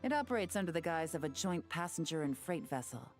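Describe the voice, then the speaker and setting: A woman voicing a calm, shrewd agent in a game, cool and composed with a teasing edge. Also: low-pitched, quick pace, soft background music. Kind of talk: game voice acting.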